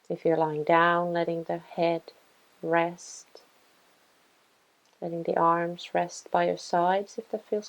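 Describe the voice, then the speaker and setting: A woman leads a guided meditation at a very slow pace, with gentle pauses between phrases. Her voice is fairly low and soft-edged, with a creaky fry running through it. The delivery is soothing and instructional. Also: rough recording. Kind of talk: guided body scan meditation.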